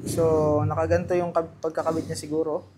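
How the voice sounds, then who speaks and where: A man with a Filipino accent giving casual, unscripted unboxing commentary, halting and thinking aloud as he handles things. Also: on the high side, little pitch movement, darkish tone, slight background noise. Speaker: vlogger presenting product unboxing.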